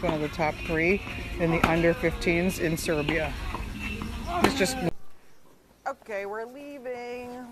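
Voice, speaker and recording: Woman, casual vlog narration, candid and opinionated, emphatic delivery, ambient noise behind. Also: fairly low pitch. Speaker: vlogger filming social media stories. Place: on the go, around a tennis centre. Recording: noisy handheld recording with ambient noise.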